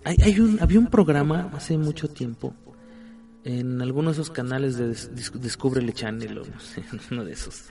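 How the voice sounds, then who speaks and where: A man's voice: mid-range voice, moderate pace, vocal fry, dark tone. A man, co-hosting a casual chat show and telling stories with many restarts. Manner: storytelling, many restarts and filler words. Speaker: podcast co-host. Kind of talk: casual chat about the paranormal.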